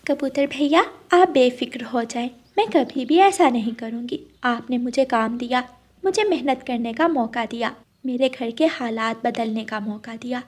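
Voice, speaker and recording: A woman voicing a gentle, sincere, long-suffering female bird in a cartoon story, speaking earnestly and softly. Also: on the high side, normal speed, natural voice.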